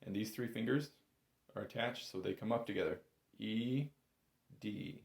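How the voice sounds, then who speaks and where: Low-voiced man teaching in an echoey room. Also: vocal fry, darkish tone. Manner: patient, encouraging, explains slowly step by step. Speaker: bagpipe instructor. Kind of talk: informal step-by-step music lesson. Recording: roomy, echoey indoor sound.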